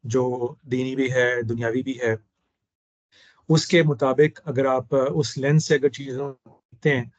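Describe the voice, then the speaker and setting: A slightly hoarse man hosts a relaxed discussion at a middling pitch, choosing his words in a deliberate, formal way. The sound is dull and lo-fi, and dry with no room echo. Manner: measured, formal phrasing. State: hoarse throat. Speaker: host. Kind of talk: casual discussion.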